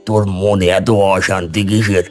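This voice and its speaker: A man playing a calm, wise wandering holy man in an animated folk tale, giving measured, fatherly instruction. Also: low voice, soft background music. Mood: calm, instructing.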